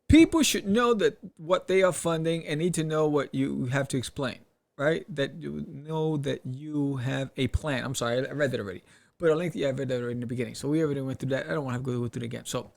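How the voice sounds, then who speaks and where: A man with a somewhat high-pitched voice and plenty of gravelly fry hosts a podcast. He gives casual advice, reading passages aloud and commenting on them. His delivery is animated, punctuated with rhetorical questions and check-ins. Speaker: podcast host.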